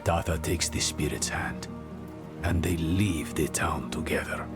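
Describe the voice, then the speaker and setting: An actor playing a gentle, solemn older mentor in a fantasy video game, measured and resolved. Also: male voice, rumbling low. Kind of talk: dramatic video game acting. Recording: loud music and rain.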